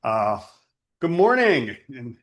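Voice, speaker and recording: A man delivering a guided spiritual sermon as a teacher, reflective and deliberate, repeating phrases for emphasis. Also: mid-range voice, big pitch swings, a bit bright, dull audio.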